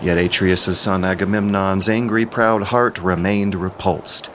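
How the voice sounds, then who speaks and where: A man's voice: low-pitched, steady tone, a little slow, a bit bright, slight background noise. A man delivering solemn, declamatory scripted narration of an epic in an audio drama.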